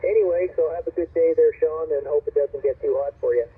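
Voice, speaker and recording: A man's voice: on the high side, fast talker, slightly rough. A middle-aged or older man chatting casually as an amateur radio operator, easygoing and welcoming, heard through a radio receiver. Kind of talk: casual two-way radio chat. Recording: narrowband, crackly ham radio transmission.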